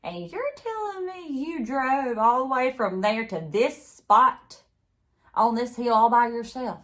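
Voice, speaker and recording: A woman's voice: on the high side, lively intonation, takes it slowly, fairly bright tone, pitched above the performer's own voice. Woman acting a stern, incredulous sheriff, emphatically raised voice. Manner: blunt, emphatic questioning. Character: stern, incredulous small-town male sheriff questioning a child.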